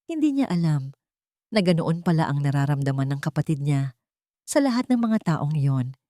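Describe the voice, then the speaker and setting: A woman's voice: low-pitched, big pitch swings, moderate pace, warm tone, no room sound, clean studio sound. A woman storyteller reading scripted narration of a dramatic love story.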